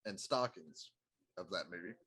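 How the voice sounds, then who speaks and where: A man's voice: deep voice, expressive, moderate pace, sharp tone, breathy edge. A middle-aged man chatting casually as a co-host on a talk show. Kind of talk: casual chat.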